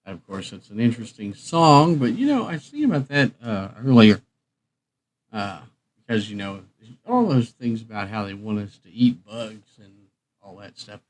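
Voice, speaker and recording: Low-voiced man chatting casually. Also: very animated, normal speed. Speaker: live stream host. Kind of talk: casual chat to live stream viewers.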